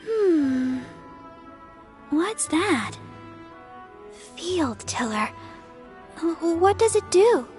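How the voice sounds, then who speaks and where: A young church sister from an anime game, speaks in a very high, sweet voice. She is polite, chirpy and earnest, with faint music behind her. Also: big pitch swings, extremely slow, pauses often, a little mellow, heavily acted. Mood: puzzled. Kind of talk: anime-style video game voice acting.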